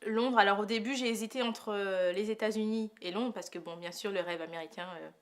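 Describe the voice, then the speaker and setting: A thirty-year-old woman from Paris gives a spontaneous personal testimonial, pausing often as she thinks aloud in a hesitant way. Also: medium pitch. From Paris, France. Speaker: testimonial interviewee.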